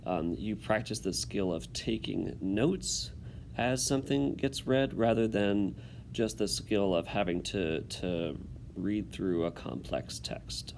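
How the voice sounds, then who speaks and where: Low-voiced male teacher, lively intonation. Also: creaky, slight background noise. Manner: instructive, pausing to check understanding. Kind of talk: instructional video with read-aloud.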